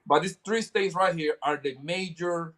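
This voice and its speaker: A man with a fairly high voice talking as a stream panelist in heavy street slang. He is emphatic and loops back over his own phrases as he builds a point. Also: moderate pace, a bit bright. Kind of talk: casual street-slang commentary.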